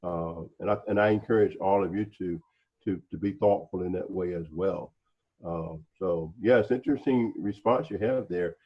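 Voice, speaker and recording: A man speaks reflectively in a discussion, halting and deliberate, often repeating words as he searches for the next one. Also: deep voice, muffled recording. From North Carolina, United States. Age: 60s. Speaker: panel speaker, former special agent.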